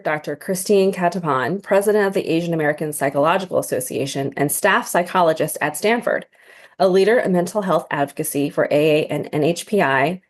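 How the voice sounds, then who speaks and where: A woman reading a quick, formal introduction of the panel moderator. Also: on the low side. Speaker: event host introducing moderator. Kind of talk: scripted introduction.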